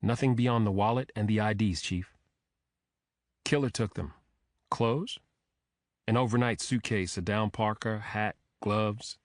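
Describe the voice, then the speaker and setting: A man's voice: lowish voice, measured pace, long pauses, dry recording, studio quality, close to an everyday voice. A man voicing a matter-of-fact female police investigator in an audiobook, clipped and factual.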